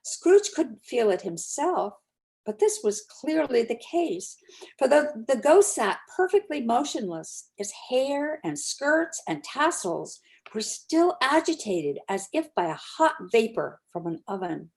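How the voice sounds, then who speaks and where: Lady narrator reading an old story out loud, voice a bit high, amateur dramatic reading. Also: very animated, unhurried, rough recording.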